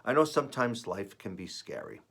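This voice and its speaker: A man with a raspy, slightly under-the-weather voice, reading and reflecting as host of an earnest spiritual message, with deliberate pauses. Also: fairly low pitch, wildly swooping pitch, fairly bright tone. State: voice rough while recovering from feeling unwell. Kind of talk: devotional reading and personal reflection.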